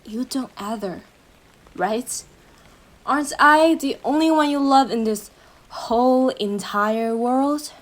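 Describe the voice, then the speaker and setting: An obsessive girlfriend, played by a woman, speaks straight to her beloved in an intimate audio roleplay. Her voice is fairly high and very slow, lingering over pet names. The sweetness is possessive and unhinged, with a threat beneath it. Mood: adoring, intense. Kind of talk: roleplay audio drama, intimate monologue addressed to listener.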